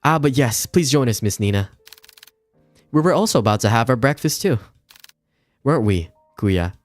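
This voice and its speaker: A man voicing an eager, polite younger male assistant in a visual novel, courteous and excitable. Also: medium pitch, moderate pace, pitched above the performer's own voice, close to an everyday voice. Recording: music behind the voice.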